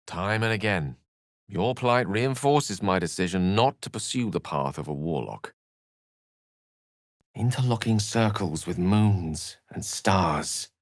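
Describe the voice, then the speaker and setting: A man's voice: fairly low pitch, no echo, clean studio sound. A man playing an erudite, courteous and wry wizard in a video game, speaking in eloquent, theatrical sentences. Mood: tender, courtly, then musing. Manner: eloquent, articulate, theatrical, wordy. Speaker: video game character voice actor.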